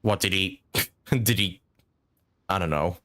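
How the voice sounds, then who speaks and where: A man's voice: deep voice, takes it slowly, bright, forceful tone. A man giving casual live gameplay commentary as a streamer, informally reacting aloud to the story. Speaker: game streamer.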